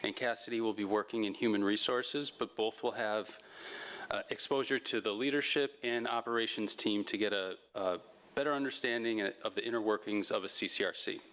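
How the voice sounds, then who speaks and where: A man's voice: lowish voice, fairly quick, a bit bright. A man speaking as host, making informal announcements to a community gathering and introducing speakers.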